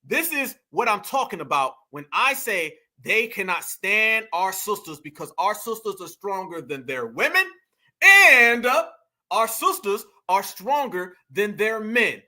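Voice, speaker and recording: Brash, bright-voiced mocking commentator man. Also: high-pitched, very animated, a little slow. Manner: mocking, brash, profane, addresses audience as fam. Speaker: commentary host. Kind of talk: heated reaction commentary.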